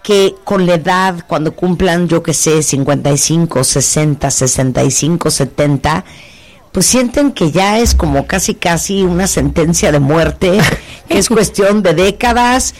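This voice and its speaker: A woman hosting a radio talk show, chatting playfully with quick asides. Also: fairly low pitch, lively intonation, darkish tone.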